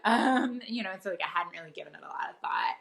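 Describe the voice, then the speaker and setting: A woman's voice: lowish voice, huge pitch swings, fast talker, creaky, crowd noise. A middle-aged woman from Costa Rica, raised in the US, answering interview questions in a thoughtful way with many fillers and restarts. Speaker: guest, theology professor. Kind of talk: conversational interview.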